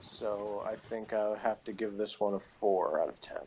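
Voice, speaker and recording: A man's voice: on the low side, steady tone, moderate pace, darkish tone, a little hiss or hum, rough recording. A man in a casual, unscripted review chat, speaking in a hesitant, rambling way as he thinks aloud.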